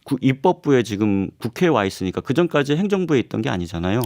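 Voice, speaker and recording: A man speaking as a guest professor on a radio current-affairs program. He explains his points in a measured, analytical way and now and then pauses on fillers. The studio sound is close and dry. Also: medium pitch, very animated, darkish tone, no echo. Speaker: political science professor guest. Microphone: studio microphone.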